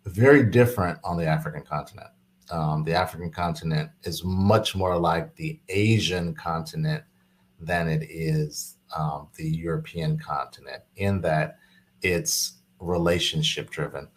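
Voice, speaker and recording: A man who is a business executive guest in an interview, explaining steadily with many fillers and quick affirmations. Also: sits low. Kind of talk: casual interview chat.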